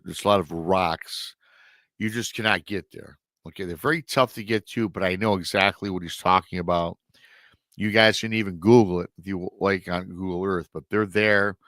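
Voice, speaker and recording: A man's voice: deep voice, normal speed, a bit bright, dry recording. A middle-aged man hosting a live show, retelling a strange encounter story in a chatty way, sounding nasal and a little scratchy from a lingering illness. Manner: chatty asides to viewers. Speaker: paranormal show host.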